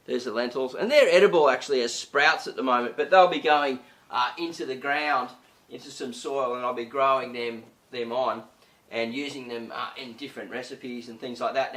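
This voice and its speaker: A man hosting a casual gardening tutorial, chatty and full of fillers, his voice slightly croaky. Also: mid-range voice, a bit bright, reverberant, far from the mic. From Australia. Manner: chatty, many "uh" and "you know" fillers. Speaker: gardening channel host.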